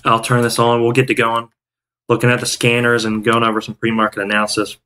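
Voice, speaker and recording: A man's voice: mid-range voice, completely flat delivery, quick pace, pushed and bright. A man in his thirties chatting casually on a day-trading live stream from home, rambling with restarts and fillers. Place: home room, indoors. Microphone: headset.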